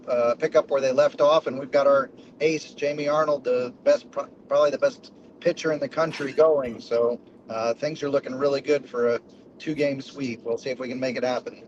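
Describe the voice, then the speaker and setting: A man guest with a hoarse voice, recapping a game in plain talk. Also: mid-range voice, lo-fi sound, noisy background. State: hoarse from screaming.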